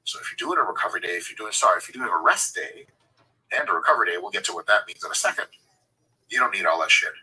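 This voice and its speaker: Man, fitness coach, very bright tone, husky, lively pitch, profane, irreverent, casual advice. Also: rapid-fire, poor audio. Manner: profane, irreverent, joking digressions; demonstrates lifting technique while talking.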